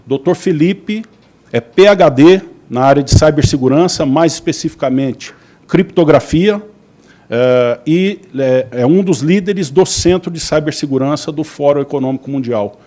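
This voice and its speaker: A man's voice: fairly high pitch, moderate pace, mellow. A man moderating a panel, introducing a guest with courteous, formal words.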